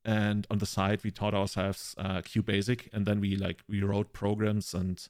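Podcast co-host: German-accented man, deeper register, very dry recording, loose conversational anecdotes. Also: little pitch movement, unhurried, a little mellow, dry recording. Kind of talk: casual chat, rambling anecdotes.

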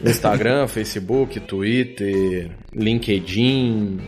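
A man's voice: fairly low pitch, drawn-out words, darkish tone, soft background music. Casual, joking Brazilian man as podcast guest. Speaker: podcast guest, audio drama producer. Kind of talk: casual podcast chat with slang.

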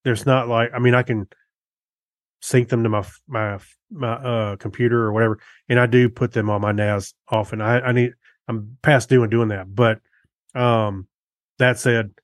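A man with an average-pitched voice, recorded dry and close. He co-hosts a casual tech podcast and talks haltingly, working out his thoughts aloud as he goes. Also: fairly even intonation, no echo. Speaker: podcast co-host.

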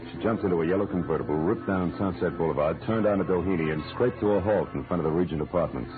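A male actor with an American accent playing a wry, deadpan private detective in a 1940s radio noir drama. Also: low-pitched, fast, close to an everyday voice. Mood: wry, matter-of-fact. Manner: deadpan, wisecracking. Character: wry, hard-boiled male private detective narrating his case. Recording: muffled old radio recording, music behind.

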